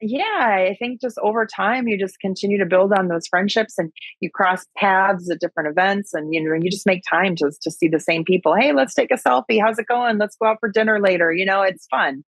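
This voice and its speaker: A woman's voice: mid-range voice, fairly quick, no echo. A woman with a Wisconsin accent talking casually and chattily as a guest in an interview. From Wisconsin, USA. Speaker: podcast guest, agriculture advocate and speaker.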